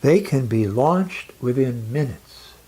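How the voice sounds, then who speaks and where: A speaker delivering a dramatic scripted monologue in a grave, emphatic, oratorical manner with deliberate pauses. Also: medium pitch, lively intonation, dark tone, breathy edge.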